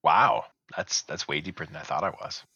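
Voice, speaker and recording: Co-host, man, very deep but bright-edged voice, self-deprecating, laughs a lot. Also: normal speed, breathy edge, dry recording, muffled recording. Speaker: podcast co-host. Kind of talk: casual chat.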